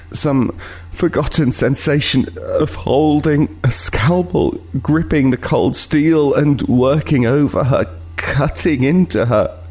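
An actor playing a haunted, guilt-ridden homeless man, telling his horror tale in a grave, deliberate, literary way for an audio play. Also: medium pitch, a little mellow. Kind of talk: dramatic first-person horror narration, audio play.

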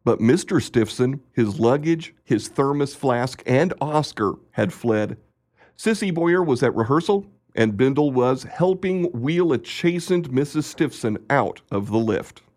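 A man's voice: mid-range voice, expressive, unhurried, a little mellow. A man reading scripted narration and announcements for a radio play. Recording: music under the voice. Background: music.

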